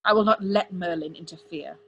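A woman's voice: fairly low pitch, fairly quick, lo-fi sound, natural voice. Young male crown prince, acted by a woman, bitter, stubborn, clipped commands, storybook fantasy, faint noise.

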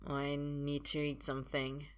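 Lady doing a drunk drugged young guy in an audiobook, slurry and halting, low voice, confused. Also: completely flat delivery, smooth. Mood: tipsy. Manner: halting, stammering.

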